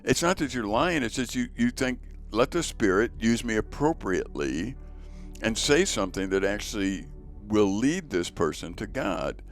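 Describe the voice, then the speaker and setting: Man, very deep voice, earnest storyteller, podcast host, faint music underneath. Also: very animated, moderate pace. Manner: earnest, storytelling, repeats words as he goes. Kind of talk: casual conversation.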